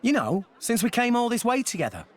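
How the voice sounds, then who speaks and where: High-pitched, plucky young British adventurer. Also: male voice, wildly swooping pitch, brisk, a faint crowd, full character voice. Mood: casual, indignant. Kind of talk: video game voice acting.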